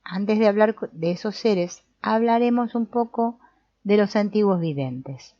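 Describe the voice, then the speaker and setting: A woman voicing an old male sorcerer teacher, calm and didactic, speaking in a measured, explanatory way with the odd small restart. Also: mid-range voice, no echo, voice pushed up, natural voice. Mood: explaining. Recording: dull, muffled audio.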